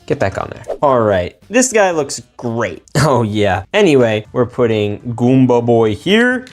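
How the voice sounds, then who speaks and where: A man giving excitable, slangy, playful commentary as he builds toys for a video. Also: mid-range voice. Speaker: toy-building video host.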